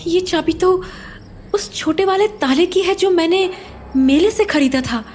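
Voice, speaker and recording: A woman's voice: very high voice, measured pace, a little mellow, a little hiss or hum. A woman playing an anxious, loving young mother in an audio horror drama, speaking hesitantly and repeating her words with emotion. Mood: puzzled, searching.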